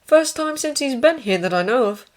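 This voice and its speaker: Woman playing a deferential, high-pitched army orderly. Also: lively intonation, normal speed. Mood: dutiful, earnest. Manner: deferential, earnest. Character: polite, dutiful young male army medical corporal.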